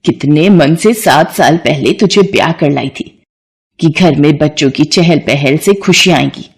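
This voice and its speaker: A woman's voice: sits low, unhurried, husky, voice pushed down, heavily acted. Woman voicing a bitter nagging mother-in-law. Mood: scolding. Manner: harsh, taunting, loud.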